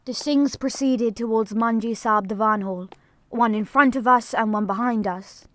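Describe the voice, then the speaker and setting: Woman acting a frightened teenage girl, high emotive voice. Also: normal speed, a bit bright, no room sound, lightly acted. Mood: tense, sombre. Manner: emotive, dramatic delivery. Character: frightened fourteen-year-old girl recounting an army attack. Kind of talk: dramatic first-person audio drama narration.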